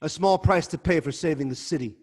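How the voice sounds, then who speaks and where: A man's voice: on the high side, a little fast, a little mellow, slightly rough. A proud, hot-tempered king. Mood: defiant impatience. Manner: scornful, commanding. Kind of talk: Zoom dramatic reading of a Greek tragedy. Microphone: video call.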